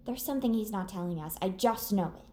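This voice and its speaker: A woman's voice: medium pitch, expressive, quick pace, warm tone, close to an everyday voice. A woman playing a nervous, sensible young woman in an audio drama, talking in an awkward, hesitant, conversational way. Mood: suspicious. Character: anxious, suspicious, sensible young woman in peril. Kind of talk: naturalistic audio drama acting.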